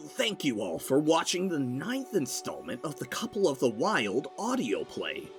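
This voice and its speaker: A man delivers a scripted channel outro as presenter. His voice is a bit high and swings dramatically in pitch, with music clearly playing behind it. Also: fairly bright tone. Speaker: presenter of audio series outro.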